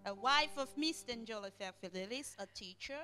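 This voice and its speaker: A woman with a Cameroonian accent speaks as a guest preacher, with a fairly high voice. She talks in short, measured phrases made to be interpreted, and music plays clearly behind her. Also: animated, pushed and bright. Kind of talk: sermon, testimony delivered in short phrases for interpretation.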